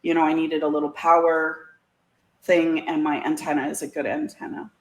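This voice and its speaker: A woman's voice: deep voice, flat delivery, moderate pace. A woman in casual conversation as an interview guest, chatty and folksy, often breaking into laughter. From Canada. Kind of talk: casual chat. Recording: roomy, echoey sound.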